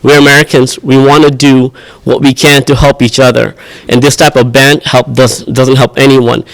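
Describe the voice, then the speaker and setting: A man's voice: mid-range voice, little pitch movement, moderate pace, vocal fry, rough recording. A man gives a plain, sincere statement at a press conference, with a few hesitant restarts.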